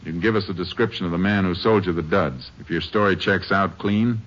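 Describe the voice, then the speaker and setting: A stern Texas Ranger in a vintage radio western. His voice is extremely low, his delivery terse and authoritative, and the old recording is dull. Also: brisk, a bit bright, a little hiss or hum. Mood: stern, questioning. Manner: terse, authoritative, matter-of-fact.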